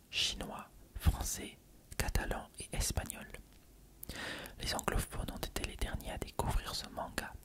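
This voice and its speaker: A person reading an encyclopedia article aloud for ASMR in a breathy, unvoiced whisper. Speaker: ASMR reader.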